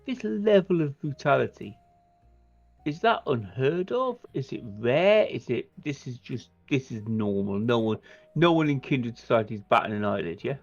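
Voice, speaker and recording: A man plays a tabletop roleplaying game in a casual way. His mid-pitched voice has a lot of creak. The sound is a little dull, with music faintly behind. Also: expressive, normal speed.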